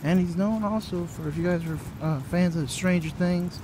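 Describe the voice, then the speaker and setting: Young American male vlogger, casual, slightly high voice, clear ambient noise around him. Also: moderate pace. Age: young adult. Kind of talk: casual vlog chat. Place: outdoors and busy convention hall. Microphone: phone at arm's length. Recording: noisy handheld phone, public ambience.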